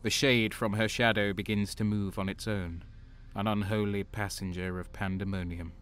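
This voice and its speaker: An actor playing a grim, battle-worn traitor soldier, narrating in terse, intense first person for an audio drama. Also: male voice, on the low side, steady tone, normal speed, a bit bright, clean tone, natural voice. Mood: ominous.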